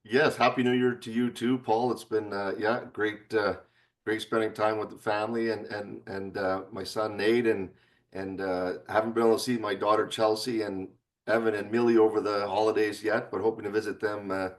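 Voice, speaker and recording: A man co-hosting a sports podcast, chatting in an easygoing, halting way with many fillers. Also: fairly low pitch, level tone, recorded from a distance. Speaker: podcast co-host, former football player. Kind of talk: casual sports chat.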